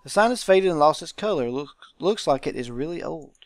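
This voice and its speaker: A man's voice: a little high, animated, brisk, no echo. A man hosting a visual novel let's play, reading game text aloud and adding informal commentary.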